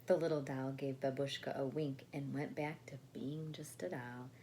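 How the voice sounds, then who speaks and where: A woman reading a picture book aloud to children in an expressive storytelling style. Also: very deep voice, moderate pace. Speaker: storybook reader. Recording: faint background noise.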